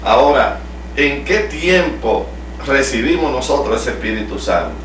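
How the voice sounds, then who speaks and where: A man's voice: mid-range voice, expressive, a little slow. A middle-aged man from El Salvador with a Salvadoran accent, a pastor leading a home Bible study and asking the group questions, recorded from across a living room. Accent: Salvadoran Spanish. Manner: teaching, asks the group questions. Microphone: far camera. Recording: echoey room from far off, faint hum.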